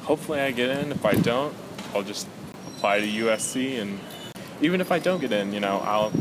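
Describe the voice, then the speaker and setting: A young man speaking in a relaxed, casual way as a student in an interview. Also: medium pitch, brisk. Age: young adult. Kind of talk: interview answers. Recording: loud outdoor street noise.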